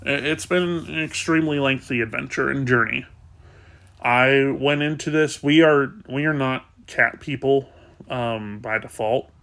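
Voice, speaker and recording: Casual vlogger, male, congested and sniffly, soft rambling delivery, mid pitch. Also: unhurried, vocal fry. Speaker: kitten rescuer. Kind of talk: casual vlog talk.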